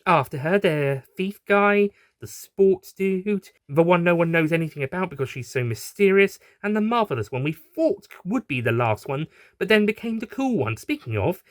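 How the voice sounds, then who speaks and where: Bubbly, slangy young alien heroine for an audio story, played by a man, high-pitched and swooping, cheeky and sarcastic. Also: big pitch swings, moderate pace, hardly any pauses, no echo, clean studio sound. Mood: rambling. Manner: bubbly, rambling, slangy and teasing. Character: hyperactive, cheerful, sarcastic young female time-travelling alien.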